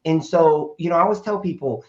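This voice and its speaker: A man in his fifties from Portland, Oregon, a raspy-voiced guest, hoarse from a weekend of speaking and telling his story in a rambling, casual way full of fillers and restarts. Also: on the high side, a little fast, darkish tone. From Portland, Oregon, USA. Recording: dull lo-fi audio.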